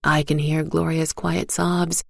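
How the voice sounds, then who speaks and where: A woman with a creaky voice narrating a dark first-person story in a solemn, measured manner. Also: low voice, level tone, no room sound, studio quality. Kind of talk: scripted dark fiction narration.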